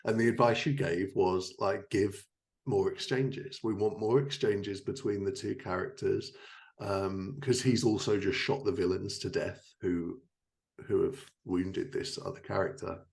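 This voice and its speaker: A man's voice: lowish voice, measured pace, gravelly. A man hosting a podcast in a casual, anecdotal chat style, with jokey asides and self-corrections. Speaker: podcast host, fiction writer.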